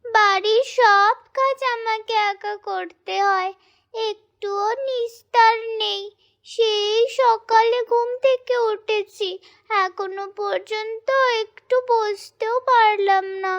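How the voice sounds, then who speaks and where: A woman's voice: extremely high pitch, steady tone, slow, creaky, a bit bright, highs cut off, heavily acted. A woman voicing a patient, overworked young stepdaughter in a cartoon folk tale, plaintive and soothing.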